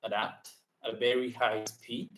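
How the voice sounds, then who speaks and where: A man from Argentina, a guest on a podcast, speaking in quick bursts with frequent ums and restarts. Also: mid-range voice, level tone, fairly bright tone, slight background noise. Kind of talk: casual interview conversation. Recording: roomy echo.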